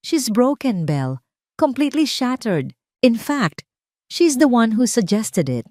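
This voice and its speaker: A woman's voice: fairly high pitch, very animated, a little slow, a little mellow, no room sound, studio quality, pitched above the performer's own voice. A woman narrator voicing a boastful, scheming husband in an audio drama, smug and dismissive, mixing Tagalog and English. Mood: smug, triumphant.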